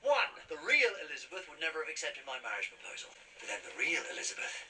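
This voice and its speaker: A man playing an eccentric, boastful, quick-witted time traveller in a television science fiction drama. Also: fairly high pitch, huge pitch swings, bright, forceful tone, a little hiss or hum, heavily acted. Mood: gleeful, cocky. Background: dramatic soundtrack music.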